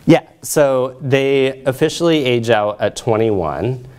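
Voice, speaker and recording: A man's voice: mid-range voice, slow pace, a little mellow, slight background noise. A man in his thirties giving an academic research presentation in a conversational way, checking in with his audience. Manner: conversational, checks in with audience using short tag questions. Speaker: social work researcher and presenter. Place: lecture room, standing by projection screen.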